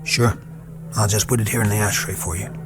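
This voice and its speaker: Radio drama lieutenant, male actor: tired soldier, haunted, reassuring but halting, over clear underscore. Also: on the low side, steady tone, close to an everyday voice. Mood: forced calm. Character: weary, haunted army lieutenant at a wartime field hospital. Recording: dramatic music behind the voice.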